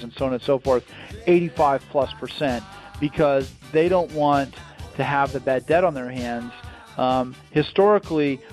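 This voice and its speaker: A man giving an emphatic, salesy pitch as a company founder on a promotional call, repeating phrases for effect. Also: a little mellow. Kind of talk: promotional interview on a conference call.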